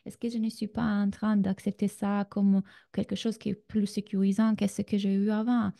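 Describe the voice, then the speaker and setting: A woman with an Italian accent and a very mellow, dark-toned voice at a medium pitch. She talks like a coach leading an online class, conversationally, turning to her listeners with direct questions. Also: level tone, moderate pace, vocal fry. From Italy. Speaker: relationship coach, masterclass host. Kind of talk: conversational online masterclass talk.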